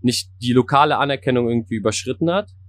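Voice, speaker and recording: A man with a mid-pitched voice hosts a podcast interview. He phrases his questions loosely and conversationally, leaning on a repeated "so" as he talks. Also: fast talker.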